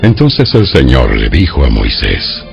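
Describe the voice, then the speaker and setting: Male narrator for Bible drama, gravelly and solemn, with animated intonation, slightly dull recording over soft music. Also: very deep voice. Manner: solemn, measured storytelling.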